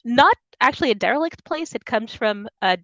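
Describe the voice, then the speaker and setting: A woman's voice: a little high, animated, brisk, fairly bright tone, no room sound, dull audio. Woman poet with dry, close sound. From Soviet Ukraine. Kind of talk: poetry reading with informal introductions.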